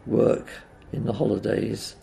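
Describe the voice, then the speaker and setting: An older man with a deeply gravelly, croaky voice reminisces slowly and haltingly, filling pauses with uhs and ums. His tone is dark and mellow, with a faint hiss of background noise. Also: little pitch movement. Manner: hesitant, full of uhs and ums. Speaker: interviewee. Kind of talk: oral history reminiscence.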